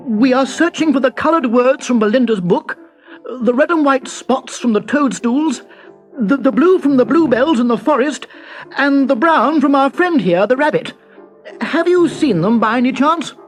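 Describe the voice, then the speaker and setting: A brave, eager little male hero from a children's storybook, performed by a man. His voice is raised and squeaky, and he speaks up pluckily yet politely. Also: lively intonation, normal speed, faint music behind, poor audio. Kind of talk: children's audio storybook character voice.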